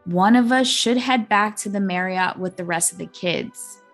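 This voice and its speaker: A woman's voice: mid-range voice, moderate pace, faint music behind, lightly acted. A woman voicing a defiant, protective female schoolteacher in a storybook. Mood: insistent.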